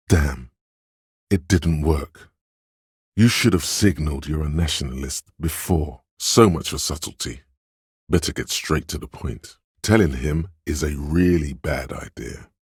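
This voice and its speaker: A man voicing a coaxing, insinuating inner voice of a detective's mind in a video game, giving deliberate advice in a gravelly, husky tone. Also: extremely low pitch, no echo, clean studio sound, full character voice. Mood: cautioning. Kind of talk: scripted game narration.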